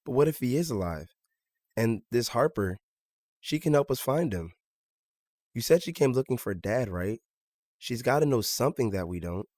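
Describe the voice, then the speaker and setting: A man's voice: medium pitch, expressive, normal speed, long pauses, a little mellow, no room sound, studio quality, lightly acted. A man playing an earnest, stubborn seventeen-year-old son in a naturalistic audio drama, polite but insistent.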